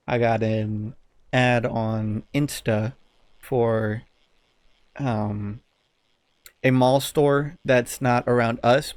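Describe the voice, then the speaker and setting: A man's voice: medium pitch, clear, resonant tone, no room sound. A man chatting casually as a podcast co-host, telling anecdotes in a rambling, informal way with some swearing. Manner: rambling, informal, profane at times.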